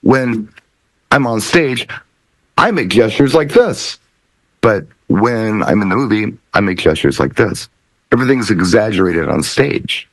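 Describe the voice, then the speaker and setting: A man on a DJ talk show panel, explaining things casually and thoughtfully with deliberate pauses. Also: on the low side, lively intonation, no echo, low-quality recording.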